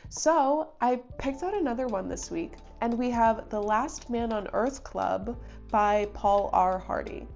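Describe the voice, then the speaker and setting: Young female book reviewer, stuffy with a cold, keen and chatty, some vocal fry, dull lo-fi sound. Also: on the high side, a little slow, darkish tone, soft background music. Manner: chatty, enthusiastic, thinks aloud. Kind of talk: casual book review talk.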